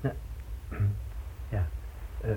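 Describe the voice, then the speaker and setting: Hesitant, apologetic junior policeman. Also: extremely slow. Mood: flustered, deferential. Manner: hesitant, deferential. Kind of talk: Dutch radio drama acting. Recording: muffled, noisy, thin old radio recording.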